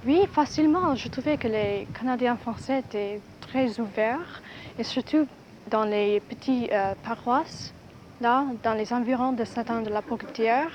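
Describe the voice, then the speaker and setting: A woman from California, a student, answering an interviewer in a relaxed, lightly hesitant way. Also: a little high, measured pace. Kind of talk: archival radio interview answers. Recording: dull 1960s radio archive, faint hiss.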